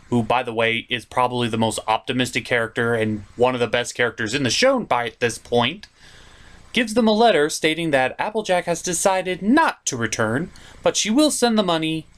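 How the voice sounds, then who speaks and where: A man hosting a comedic cartoon episode review, sarcastic and swearing freely, jumping between shouts and mutters. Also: on the high side. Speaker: host.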